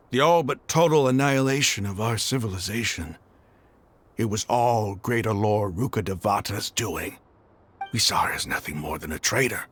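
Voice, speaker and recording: A man's voice: on the low side, a bit bright, breathy edge. A man playing an embittered desert mercenary leader in a video game, speaking emphatically and with passion. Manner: emphatic, impassioned. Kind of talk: dramatic video game voice acting. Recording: background music under the voice.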